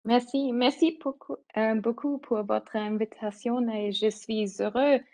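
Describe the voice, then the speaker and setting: Woman lecturer, German native, speaking by video call; measured and explanatory, with frequent "um" pauses. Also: on the high side, steady tone, dark tone. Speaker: guest lecturer. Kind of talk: academic lecture.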